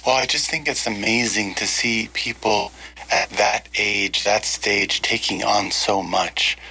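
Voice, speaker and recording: A man from Egypt speaking as a studio interview guest, earnest and deliberate in reflective conversation. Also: on the low side, fairly even intonation, bright, forceful tone, breathy edge, poor audio. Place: small in-person studio.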